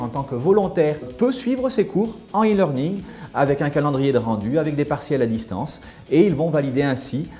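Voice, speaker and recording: A man explaining a school's programs in a measured way, with frequent hesitations. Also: a little high, dark tone. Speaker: school representative. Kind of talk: promotional interview.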